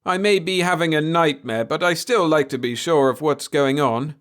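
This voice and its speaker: A man's voice: fairly high pitch, moderate pace, a bit bright, pitched above the performer's own voice, lightly acted. A man voicing a bewildered, stubborn male doctor in a sci-fi story, urgent and questioning. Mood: bewildered, insistent.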